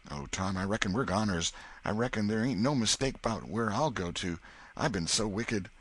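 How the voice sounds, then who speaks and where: A man voicing a scared, superstitious young village boy who speaks in a rustic backwoods dialect, hushed and fearful. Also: fairly low pitch, lively intonation, moderate pace, breathy edge, pitched above the performer's own voice, full character voice. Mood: frightened.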